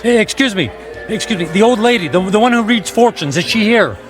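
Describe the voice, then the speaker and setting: An actor playing an intense, emotional condemned man in a radio drama, halting and repeating his words. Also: high-pitched, lively intonation, music in the background. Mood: urgent, shouting.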